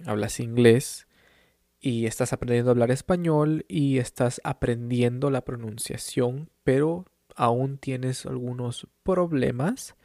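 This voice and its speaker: A man from Peru, a language teacher, speaking calmly and encouragingly in a clear teaching monologue and adding short glosses in English. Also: mid-range voice, a little slow, dry recording, clean studio sound.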